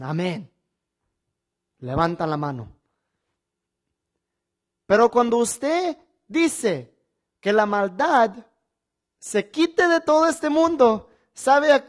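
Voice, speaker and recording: A male preacher teaches from the Bible in a sermon style. He phrases each point deliberately and with emphasis, leaning on repetition and questions put to his listeners. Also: extremely high pitch, big pitch swings.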